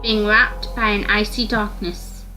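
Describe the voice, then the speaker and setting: A woman reading a visual novel aloud as dramatic first-person narration, slightly halting in her delivery. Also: mid-range voice, little pitch movement, sharp tone, faint music behind, low-quality recording.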